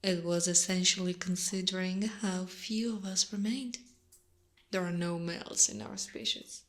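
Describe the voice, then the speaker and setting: Girl playing a creepy possessive spider monster lady, asmr roleplay, super slow teasing talk, half whispering. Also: fairly low pitch, close to an everyday voice. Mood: sly storytelling. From Italy. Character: menacing, possessive female spider monster, playfully predatory.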